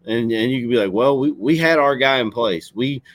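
A man with a Kentucky drawl hosts a loose, casual talk show, speaking earnestly and circling back on his points. His voice sits at a middle pitch and sounds dry and close, with no room echo. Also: normal speed. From Kentucky, USA. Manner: earnest, rambling, frequent "you know what I'm saying". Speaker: show host. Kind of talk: casual podcast chat.

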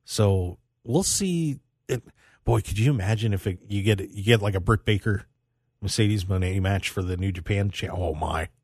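A man's voice: fairly low pitch, moderate pace, darkish tone, husky, no echo, clean studio sound. A man hosting a radio show, talking casually about sports news in a rambling way and repeating phrases for emphasis.

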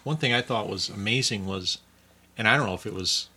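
A man's voice: on the low side, fairly quick, sharp tone, breathy edge. A man in a casual chat who keeps asking curious questions.

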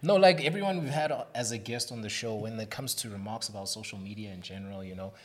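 A man with a fairly low voice chats casually as a podcast co-host. He is laid-back and slangy, agreeing readily and echoing the words of others. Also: fast. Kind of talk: casual chat.

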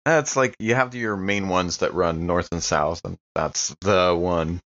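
Male tabletop player, lower register, loose conversational delivery, slightly muffled lo-fi recording. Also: measured pace, a bit bright. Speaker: player in a tabletop roleplaying game. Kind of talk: casual chat and in-character play. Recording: dull, lo-fi sound.

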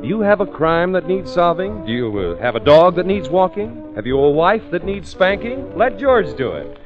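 A man announces in showy 1940s radio style. His pitch is fairly high and very lively. Loud music plays behind him on a dull, lo-fi recording. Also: moderate pace. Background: loud musical instrument.

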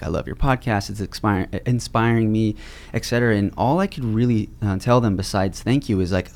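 A low-pitched young man hosting a podcast, with a gravelly, creaky edge to his voice. He talks in a relaxed, loose way, packing his rambling questions with fillers. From California. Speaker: podcast host. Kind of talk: casual interview chat.

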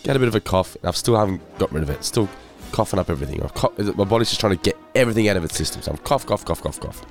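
A man co-hosting a casual podcast, his voice congested and hoarse from a head cold, talking in a weary, self-deprecating way. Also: deep voice, expressive, very fast, few pauses, soft background music. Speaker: podcast co-host.

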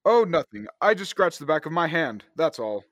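A man's voice: a little high, big pitch swings, normal speed, a bit bright, no room sound, clean studio sound. A man acting an eager, impatient space adventurer in an old-time radio drama. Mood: impatient, dismissive. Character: eager, impatient male space adventurer hero, brushing off fuss. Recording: clearly noisy background ambience.

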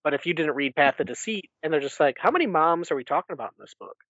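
A man's voice: on the high side, lively intonation, fast talker, a bit bright, dry recording. Enthusiastic, rambling man, dry slightly dull recording. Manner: rambling, enthusiastic retelling with acted-out asides. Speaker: podcast host. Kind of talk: casual book recap discussion.

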